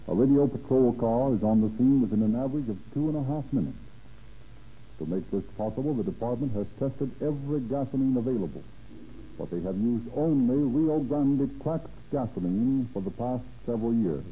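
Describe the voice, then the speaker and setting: Casting: male vintage radio pitchman, formal emphatic scripted delivery, average pitch, muffled period recording with slight noise. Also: animated, brisk. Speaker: radio announcer and sponsor spokesman. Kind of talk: 1930s radio announcing. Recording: noisy, dull 1930s radio recording.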